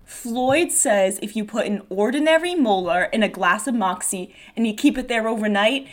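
A woman's voice: on the high side, expressive, normal speed, close to an everyday voice. A woman reading the part of a kindly, admiring young woman in a stage play. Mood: friendly. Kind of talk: script read-through of a play.

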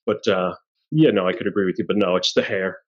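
A man in his forties with a low, rough-edged husky voice chats as a podcast host. He banters casually and jokes at his own expense. The audio is slightly dull and lo-fi. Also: fast. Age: 40s. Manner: self-deprecating, joking.

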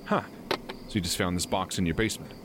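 A man's voice: low-pitched, fairly even intonation, fast, background noise, natural voice. A man acting in an audio drama as a tired, dry, sarcastic friend just off work, speaking naturalistically. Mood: curious.

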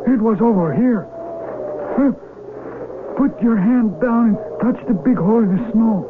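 A tinny, very noisy radio recording carries an eager, excitable villager. The voice is high and played as old-time radio drama. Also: male voice, measured pace. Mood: excited. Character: eager, excitable valley villager named Miguel.

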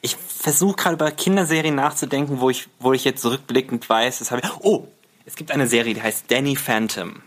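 A man's voice: medium pitch, brisk. Playful man chatting with English slang. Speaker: podcast guest, reporter. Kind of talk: casual banter chat.

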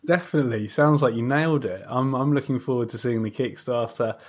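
Man, mid-pitched voice, podcast interviewer, casual, agreeable, encouraging. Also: a little fast, darkish tone.

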